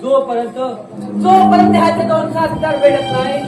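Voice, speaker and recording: A man's voice: very high voice, normal speed. A man playing a fierce, loyal prime minister of a kingdom, declaiming in a folk stage drama. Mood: fierce pride. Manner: declamatory, vowing. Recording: echoey hall, distant mic, loud crowd, music.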